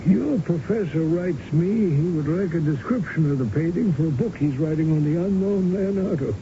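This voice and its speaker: Man acting sardonic old art collector, higher-pitched voice. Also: moderate pace, close to an everyday voice. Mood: genial, hesitant. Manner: chuckling, hesitant, throat-clearing, pompous. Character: ailing old American art-collecting husband, genial yet sardonic. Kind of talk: 1970s radio drama acting. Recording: noisy, muffled old radio broadcast recording.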